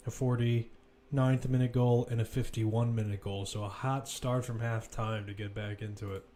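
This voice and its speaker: A man's voice: medium pitch, flat delivery, moderate pace, warm tone, a little hiss or hum. A man giving live, informal football play-by-play, chatting and thinking aloud as he follows the action. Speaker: play-by-play commentator. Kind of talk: live football commentary, casual and conversational.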